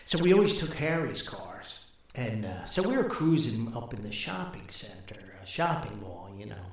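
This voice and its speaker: A man's voice: medium pitch, big pitch swings, normal speed. An older man telling casual anecdotes as a podcast host, in a rambling, folksy manner. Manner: rambling, folksy, chuckles at own stories. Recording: very echoey, reverberant room sound.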